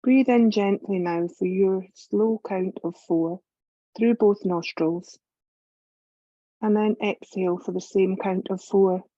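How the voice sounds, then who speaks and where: A woman leading a guided meditation as a yoga teacher, calm and measured, giving gentle instructions with long pauses. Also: mid-range voice, no echo, highs cut off.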